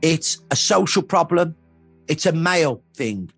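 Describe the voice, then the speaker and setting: A man's voice: on the high side, expressive, a little slow, gravelly, a bit bright, faint music behind. A middle-aged or older man from Birmingham, England, speaking as a historian in a documentary interview, in emphatic, punchy, repeated phrases.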